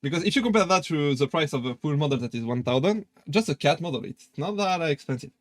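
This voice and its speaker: A man's voice: on the high side, lively intonation, quick pace, no echo. A young man with a French accent chatting casually on a live stream, hesitant with many fillers and restarts. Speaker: VTuber streamer.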